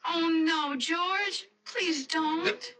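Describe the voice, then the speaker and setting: An actress playing an anxious young fiancée in a radio mystery, hesitant, earnest and pleading. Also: female voice, very high voice, drawn-out words, pushed and bright, full character voice. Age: young adult. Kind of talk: radio mystery drama acting.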